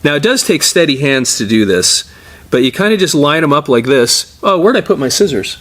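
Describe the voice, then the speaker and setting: A man's voice: a little high, big pitch swings, fairly quick, fairly bright tone. A middle-aged man presenting a casual hands-on tutorial as a repair technician, explaining informally step by step while he works.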